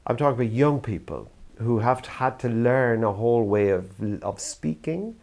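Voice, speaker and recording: A man's voice: fairly low pitch, vocal fry, darkish tone. An older Irish man from the theatre world gives an earnest, thoughtful talk to camera. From Ireland. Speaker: youth theatre practitioner.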